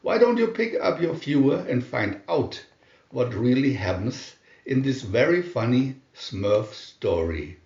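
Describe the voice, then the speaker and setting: A man narrating a scripted children's storybook in a deliberate, expressive storytelling manner. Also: mid-range voice, gravelly, roomy sound, dull audio.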